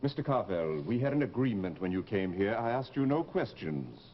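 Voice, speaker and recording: Male: firm, professional doctor for radio horror, low-pitched, measured and courteous, on faintly noisy old audio. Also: big pitch swings, dull audio. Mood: firm, indignant. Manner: formal, measured, polite. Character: firm, professional male plastic surgeon, politely probing.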